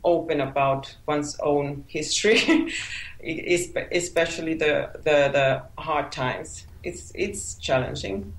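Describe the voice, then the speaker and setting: A woman whose native language is Finnish, speaking in a fairly low voice. She talks hesitantly and keeps repeating words while she finds them. Her voice carries the echo of a reverberant room. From Finland. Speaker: author guest. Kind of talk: interview answers.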